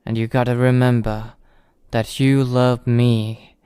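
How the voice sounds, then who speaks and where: A man's voice: mid-range voice, little pitch movement, slow, warm tone, clean tone, no room sound, studio quality, lightly acted. A man playing an obsessive, possessive young childhood friend in love, in an intimate ASMR roleplay monologue, pleading and menacingly tender.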